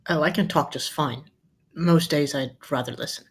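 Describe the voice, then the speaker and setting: A woman's voice: low-pitched, moderate pace, husky. A woman playing a laconic, easygoing former soldier in a plainspoken, folksy way at a screenplay table read. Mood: wry, easygoing.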